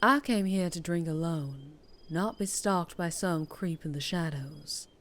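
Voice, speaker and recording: Woman playing a slow, low-voiced teasing vampire biker. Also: fairly bright tone, a little hiss or hum. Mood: wary, threatening. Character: mysterious, teasing, menacing female vampire biker. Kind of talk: ASMR roleplay acting.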